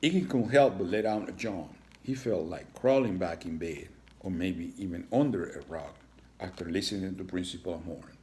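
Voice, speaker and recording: Lower-pitched man reading a children's story. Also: moderate pace, mellow. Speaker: storybook reader. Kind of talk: scripted children's story narration.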